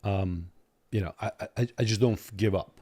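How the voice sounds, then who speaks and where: Low, mellow-voiced Armenian-accented man. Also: normal speed. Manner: earnest, repeats words when starting a thought. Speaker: guest lawyer. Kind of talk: podcast interview. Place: podcast studio.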